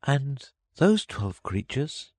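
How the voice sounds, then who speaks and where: A man's voice: mid-range voice, sing-song, slow, a little mellow, no room sound, studio quality, voice pushed up. A man voicing a curious, opinionated little girl from a storybook, in a prim manner.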